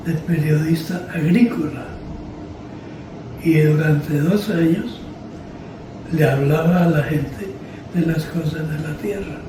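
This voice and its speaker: An older Colombian man giving solemn autobiographical testimony in short, deliberate, measured phrases. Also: on the high side. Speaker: interviewee, former farm radio journalist. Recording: echoey, distant, clearly noisy.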